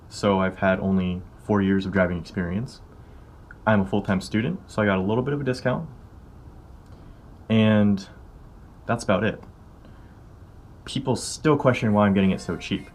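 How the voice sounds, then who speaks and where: Young American male vlogger, early twenties, lowish pitch, informal explainer delivery, music underneath. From California, United States. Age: early 20s. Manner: informal, conversational. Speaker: car vlogger. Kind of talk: casual explanatory vlog talk to viewers. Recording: music behind the voice. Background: background music.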